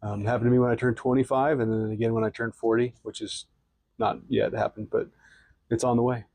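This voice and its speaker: A man's voice: mid-range voice, flat delivery, a little fast, darkish tone, no room sound. A man in his late thirties co-hosting a podcast, joking and teasing in casual chat, laughing often. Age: 30s. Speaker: podcast co-host.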